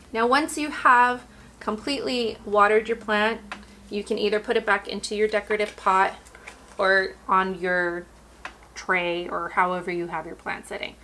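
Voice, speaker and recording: A woman hosting a houseplant care video, explaining things in a chatty, relaxed way while losing her voice. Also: mid-range voice, a little hiss or hum. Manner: chatty, relaxed, and explanatory, addressing viewers directly. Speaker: houseplant care channel host. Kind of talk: casual instructional talk.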